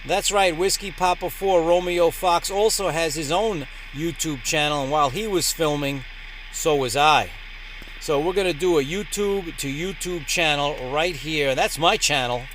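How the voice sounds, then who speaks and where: A man's voice: a little high, fairly bright tone, a little hiss or hum, rough recording. A man hosting a ham radio channel in an upbeat, emphatic announcer-like way. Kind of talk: upbeat vlog presenting.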